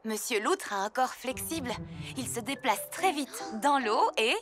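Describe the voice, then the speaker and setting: A woman voicing a caring, watchful mother pheasant in a children's cartoon dub, motherly and clearly enunciated. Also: high voice, animated, measured pace, over music. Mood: cheerful, kindly.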